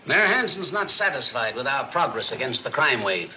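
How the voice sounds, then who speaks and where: Sharp, forceful police chief. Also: male voice, medium pitch, big pitch swings, moderate pace, rough recording. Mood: firm, grave. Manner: brusque, clipped, authoritative. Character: gruff, impatient male police chief. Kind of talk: 1940s film serial acting. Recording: old 1940s film soundtrack, faint noise.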